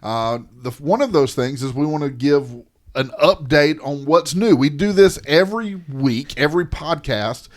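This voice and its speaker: A man from the Southern United States co-hosts a podcast. His voice sits a little high for a man. He talks in a loose, rambling way, often restarting sentences and gently teasing his co-host. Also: expressive, normal speed, few pauses. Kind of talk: casual chat.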